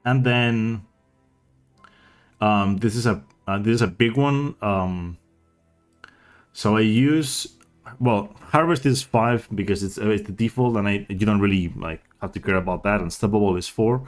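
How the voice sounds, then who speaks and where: A man giving a casual, unscripted game tutorial as a speedrunner, with a hesitant, halting delivery that often trails off. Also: fairly low pitch, soft background music.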